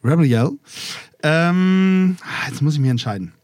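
A man's voice: on the high side, expressive, unhurried, darkish tone, no echo, clean studio sound. A man from Germany hosting a live podcast in front of an audience, chatting playfully and drifting off topic. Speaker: podcast host. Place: festival recording room with a live audience.

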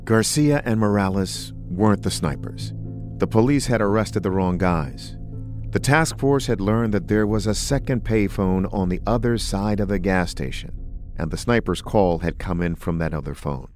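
A man's voice: on the low side, normal speed, darkish tone. A man hosting a podcast, delivering scripted true-crime narration. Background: music bed.

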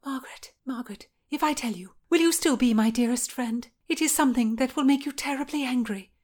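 Period audiobook: woman as passionate young noblewoman, raised pitch, frightened, breathy, defiant. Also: fairly quick, a little mellow. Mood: pleading. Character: Anne Boleyn, frightened, defiant, passionate young pregnant lady.

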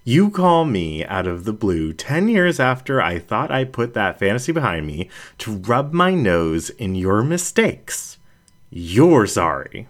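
A man's voice: fairly low pitch, very animated, measured pace. A man acts out a young animal-folk woman who works as a skeptical video journalist in an audiobook. The delivery is pointed and wounded, rising and falling sharply with emotion. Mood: bitter, incredulous. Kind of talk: storybook character reading.

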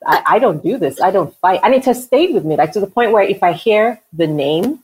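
A woman hosting a casual interview, chatting and telling stories in a playful, animated way. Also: medium pitch, fairly quick, husky. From Nigeria. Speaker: podcast host.

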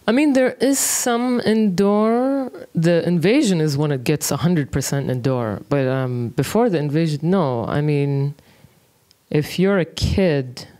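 Relaxed woman in her thirties, musing. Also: sits low, measured pace. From Kuwait. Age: 30s. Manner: relaxed, thinks aloud with many fillers. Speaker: interview guest, music producer. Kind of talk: casual conversational interview.

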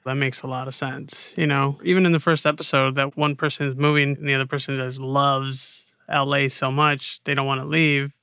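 Smooth-voiced, casual podcast interviewer, a woman. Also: rumbling low, little pitch movement, fairly quick, few pauses, fairly bright tone, no room sound, studio quality. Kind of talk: casual chat.

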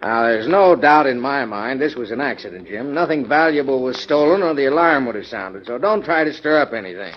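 A man's voice: mid-range voice, expressive, brisk, few pauses, natural voice. Blustery old-radio police inspector, played by a man. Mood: impatient. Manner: blustery, argumentative. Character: brusque, impatient male police inspector. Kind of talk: 1940s radio acting. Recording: muffled, hissy 1940s radio recording with clicks.

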